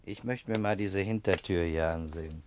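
A man's voice: deep voice, moderate pace, lightly acted. A male actor plays a busy, slightly absent-minded fire investigator in a vintage German radio drama. He is courteous and thoughtful, questioning in a matter-of-fact way. A light noise sits on the old recording. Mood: methodical, absorbed. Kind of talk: 1960s German radio play acting. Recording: noisy 1960s radio recording.

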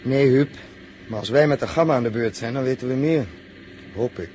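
A bossy crew leader acted by a man in a 1960s science fiction radio play. His middle-range voice is brisk and colloquial, heard through clear recording noise. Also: medium pitch, a little mellow, natural voice, poor audio. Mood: dry. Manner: brisk, colloquial, urging others on. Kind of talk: 1960s radio drama acting.

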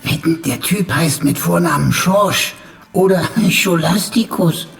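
A man voicing an uncouth, insolent talking computer in a radio drama, creaky and mocking. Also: high-pitched, measured pace. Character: cheeky, uncouth talking computer, sidekick of a detective. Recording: long reverb, light music behind.

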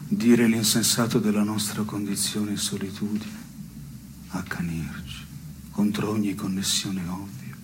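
An actor playing a wry, melancholy man in an absurdist stage dialogue, speaking in a measured deadpan. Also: lowish voice, natural voice. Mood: deadpan, solemn. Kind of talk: absurdist two-hander theatre dialogue. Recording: noisy radio broadcast of theatre piece.

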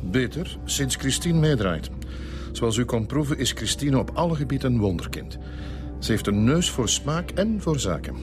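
An actor playing a middle-aged Flemish brewery owner in a radio drama, expansive, frank and confiding. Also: male voice, mid-range voice, big pitch swings, moderate pace, music in the background, close to an everyday voice. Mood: proud, open. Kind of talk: Dutch-language radio drama acting.

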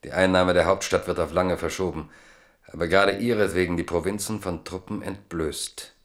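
Tiefer Erzähler eines literarischen Hörspiels. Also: male voice, low voice, steady tone, normal speed. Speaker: narrator. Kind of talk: scripted literary radio drama narration.

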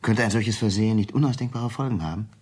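Low-voiced, calculating executive. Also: male voice, a little mellow, slightly rough, no room sound. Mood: probing. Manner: measured, persuasive, probing. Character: smooth, calculating male company vice president, tempter. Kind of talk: 1970s German radio crime drama acting.

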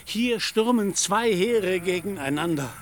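A man's voice: high-pitched, expressive, unhurried. A man in a German radio play, playing a deluded, proud knight-errant with grandiloquent, archaic, declamatory speech. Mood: excited, grandiose.

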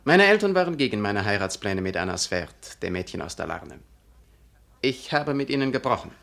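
A man's voice: lowish voice, big pitch swings, normal speed, gravelly, fairly bright tone, exaggerated voice. An actor playing a cold, fanatical young clergyman in a literary radio drama, speaking in a stern, pompous, unyielding manner. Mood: bitter. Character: Karl Arthur, self-righteous, cold, fanatical young clergyman.

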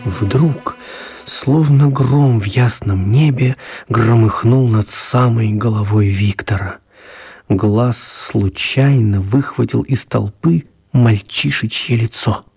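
An actor playing a storyteller, narrating a children's radio tale with measured dramatic pauses. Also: male voice, medium pitch, vocal fry, a little mellow, husky, heavily acted. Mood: dramatic narration. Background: music.

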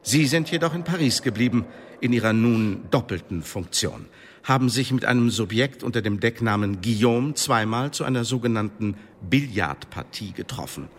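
An actor playing a cold, sardonic Elizabethan secret-service interrogator in a German radio drama, speaking in a pedantic, condescending, formal way. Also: male voice, medium pitch, expressive, moderate pace, slight background noise, close to an everyday voice. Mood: coolly menacing.

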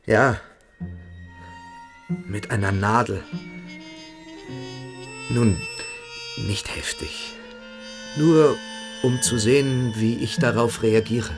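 An actor playing a shamed, evasive teenage cadet in a radio play, halting and self-justifying. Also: male voice, medium pitch, over music. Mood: anxious. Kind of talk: German radio play acting.

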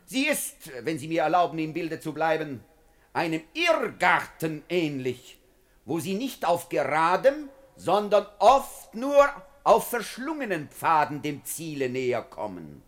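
A scornful politician addressing parliament in a 1950s radio play. His voice is high and highly animated, rising and falling widely. He declaims rhetorically, mocking as he accuses. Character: scornful, sardonic parliamentary orator attacking a minister. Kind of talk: 1950s German-language radio play acting.

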